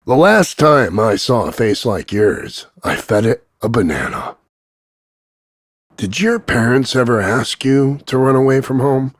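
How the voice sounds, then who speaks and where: A man voicing a cocky, gruff macho action hero, delivering scripted insults in a gravelly, husky, mocking deadpan drawl. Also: mid-range voice, unhurried, dry recording, studio quality. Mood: mocking, smug. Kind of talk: scripted voice-over demo of one-line insults.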